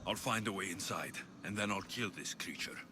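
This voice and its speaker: A man's voice: low voice, moderate pace, background noise, natural voice. A man playing a brave, blunt mercenary hero in a video game, resolute and direct. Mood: resolute, probing. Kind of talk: dramatic video game acting.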